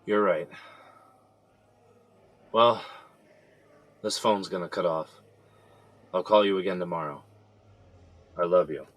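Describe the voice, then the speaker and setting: A man's voice: sits low, muffled recording. A man playing a jailed son praying. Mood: resigned. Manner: halting, earnest, sighing. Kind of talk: audio drama acting. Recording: music under the voice.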